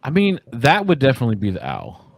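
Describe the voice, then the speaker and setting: A man's voice: medium pitch, wildly swooping pitch, quick pace, vocal fry, no echo. A man in his forties, a podcast guest talking casually in a laid-back, slangy, profane way with lots of fillers. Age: 40s. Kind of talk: casual chat.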